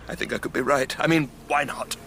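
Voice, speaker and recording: An actor playing an earnest, anxious, imaginative gentleman in a period radio drama, over a noisy background. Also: mid-range voice, unhurried, gravelly. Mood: awed, wistful. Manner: hesitant, thoughtful, earnest.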